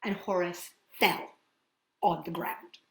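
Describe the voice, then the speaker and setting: A woman hosting a children's storytime, reading and singing in a playful, expressive way with drawn-out words and chatty asides. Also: on the low side, roomy sound. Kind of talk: animated picture-book read-aloud and children's singing.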